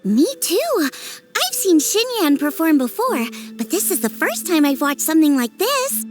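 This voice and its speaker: Chatty cheerful teen girl cook in a game, high voice, lots of lilt. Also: sing-song, measured pace, faint music behind. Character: cheerful, modest teenage girl chef. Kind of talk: dubbed video game cutscene dialogue.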